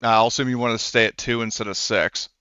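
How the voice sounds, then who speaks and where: A man acting as game master in a tabletop game, talking with frequent pauses and fillers. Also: medium pitch, steady tone, pushed and bright, clear, resonant tone, dry recording, lo-fi sound. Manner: hesitant, lots of fillers and restarts.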